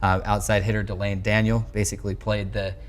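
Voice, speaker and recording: A man's voice: fairly low pitch, little pitch movement, brisk. A man in his twenties with an American accent, hosting a sports interview on a lapel mic. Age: 20s. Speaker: sports show host interviewing a coach. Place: small indoor locker-room set.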